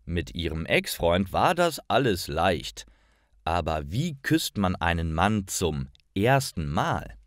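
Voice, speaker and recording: A man reading scripted stories for language learners, with careful, deliberate enunciation. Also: deep voice, no echo, studio quality. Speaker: language-course narrator.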